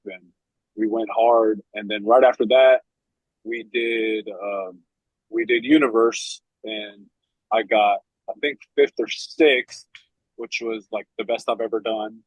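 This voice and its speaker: Man, late thirties, husky rasp, dry close mic, loose filler-heavy chat. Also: medium pitch, no echo, poor audio. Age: 30s. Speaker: competitive men's physique athlete. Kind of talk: casual podcast chat.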